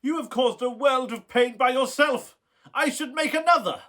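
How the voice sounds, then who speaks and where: A man's voice: very high voice, moderate pace, fairly bright tone. A man playing a bitter, wrathful young scientist in a radio play, with intense, melodramatic delivery. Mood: defiant. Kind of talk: theatrical radio play acting. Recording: roomy echo.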